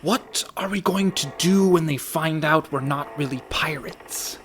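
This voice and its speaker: A man's voice: fairly high pitch, a little slow, fairly bright tone, a little hiss or hum. An actor playing a fretful, reluctant con man in a comic radio play, full of dry, indignant protests. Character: anxious, reluctant young-sounding con man, male, indignant worrier.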